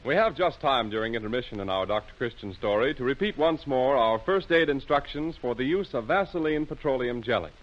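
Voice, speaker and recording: A man announcing on old-time radio in a formal, measured, emphatic sponsor style. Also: medium pitch, animated, soft background music. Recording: dull lo-fi old radio recording.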